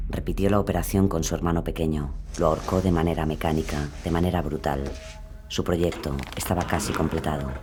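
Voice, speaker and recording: A man with a very low voice narrates a true-crime story in a grave, measured way. Loud, moody music runs under his words. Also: steady tone.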